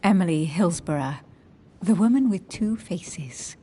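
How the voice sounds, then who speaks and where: A woman's voice: on the low side, expressive, measured pace, mellow, a little hiss or hum. An actress playing a proud, guarded young woman agent in a dramatic video game, speaking with cutting, defiant self-assurance. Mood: confiding, earnest. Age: young adult. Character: guarded, proud, sharp-witted young female secret agent.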